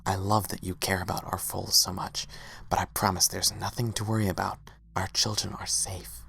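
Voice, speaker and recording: A man playing a gentle, tired and patient cartoon pony husband, speaking softly and reassuringly. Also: sits low, level tone, moderate pace, gravelly, full character voice.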